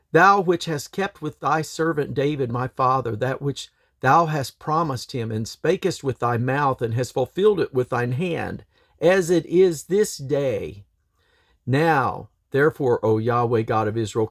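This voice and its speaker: A man reads aloud from the King James Bible in a solemn, measured, declamatory way, phrase by phrase. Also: mid-range voice, dry recording, clean studio sound. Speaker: scripture reader and host.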